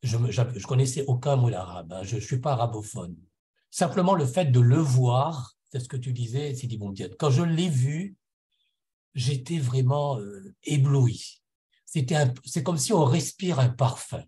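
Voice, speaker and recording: A middle-aged man from Réunion island speaks at a conference with a warm, mellow tone, sharing his own spiritual experience. He is earnest and reflective, often hunting for the right word and repeating himself mid-thought. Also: mid-range voice, expressive, moderate pace. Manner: searching, hesitant, restarts and repeats words. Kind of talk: personal spiritual testimony at a conference.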